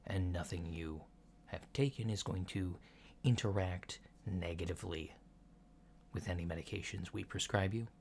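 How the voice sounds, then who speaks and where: Casting: male performer for a polite alien physician in ASMR roleplay, lowish voice, unhurried and reassuring, noisy lo-fi sound. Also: a little mellow. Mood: calm, reassuring. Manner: hesitant, methodical, reassuring clinical questioning. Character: polite, unhurried human-looking alien doctor giving a checkup. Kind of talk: soft-spoken ASMR roleplay. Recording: lo-fi with clear background noise.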